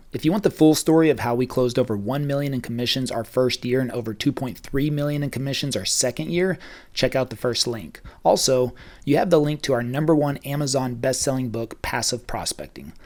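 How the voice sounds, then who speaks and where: A man's voice: medium pitch, brisk, darkish tone. A man delivering a scripted promotional announcement as a podcast host.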